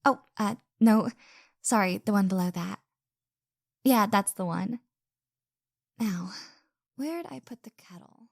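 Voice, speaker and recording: A woman's voice: mid-range voice, takes it slowly, vocal fry, pauses often, no echo, clean studio sound. A woman acting a caring, flustered young woman with a crush in an intimate roleplay audio drama, speaking hesitantly and earnestly with stammers. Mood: shy, warm.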